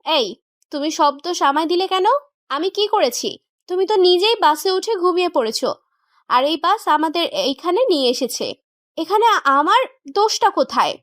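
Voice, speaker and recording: A woman's voice: very high voice, very animated, normal speed, fairly bright tone, no echo, studio quality, heavily acted. A young woman playing a naive, indignant newlywed wife in a romantic audio story, pouty, stubborn and protesting.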